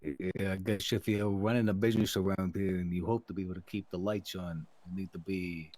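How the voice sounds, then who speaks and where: A man's voice: low voice, steady tone, fairly quick, darkish tone, no echo, poor audio. A man playing a businesslike mafia underling in improvised online roleplay, talking in roundabout wiseguy euphemisms. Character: scheming, businesslike mobster underling reporting to boss.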